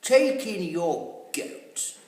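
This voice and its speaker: A storyteller voicing a wise old man from a storybook, musing in drawn-out sounds before giving calm advice. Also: mid-range voice, distant mic. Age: elderly. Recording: echoey, reverberant room.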